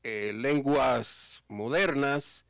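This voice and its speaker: A man in his fifties from the Dominican Republic, with a Dominican accent, answering interview questions as a teacher in a calm, measured, good-humored way. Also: medium pitch, creaky, dry recording, studio quality. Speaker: guest, teacher.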